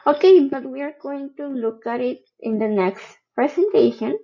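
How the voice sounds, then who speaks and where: A woman teaching a grammar lesson, slowly explaining each example and checking in with the learner. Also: sits high, expressive, muffled recording. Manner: patient, spells out each example. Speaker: English teacher. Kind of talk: slow recorded grammar lesson.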